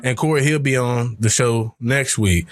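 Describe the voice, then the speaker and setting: A man's voice: medium pitch, moderate pace. A young man hosting a podcast, chatting casually and laughing as he tells stories and mimics people. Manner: laughing storyteller doing voices of people he quotes. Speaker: podcast host. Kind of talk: casual chat.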